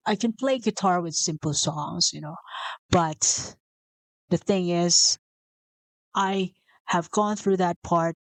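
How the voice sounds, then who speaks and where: A woman's voice: lowish voice, no echo, low-quality recording. A woman from the Philippines with a Filipino accent, whose first language is Bisaya, hosting a motivational podcast in an earnest way, repeating herself and correcting herself as she goes. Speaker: podcast host. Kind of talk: motivational talk.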